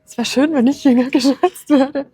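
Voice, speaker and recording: A woman in her twenties chatting casually and agreeably with a friend, often laughing softly as she talks. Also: high voice, steady tone, normal speed, no room sound. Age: 20s. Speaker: trader and channel host. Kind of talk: casual chat.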